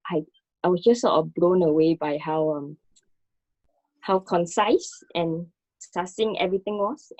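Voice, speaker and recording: A woman talks reflectively and casually with a fellow artist, feeling her way through her sentences. Her voice is lowish and soft-edged in tone, picked up dry with a slightly dull sound. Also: no echo. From Singapore. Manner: hesitant, self-conscious, searching for words.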